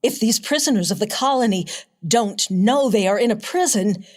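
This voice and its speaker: A woman plays an anxious heroine in a radio drama. Her voice is high and swoops expressively, dramatic and full of shock and fear. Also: expressive, normal speed. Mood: fearful. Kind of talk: radio play acting.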